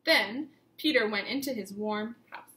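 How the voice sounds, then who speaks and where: A woman teacher reading a picture book aloud to young children, with expressive emphasis and simple questions put to the listeners. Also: a little high, measured pace, reverberant. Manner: simple instructive phrasing, questions addressed to children.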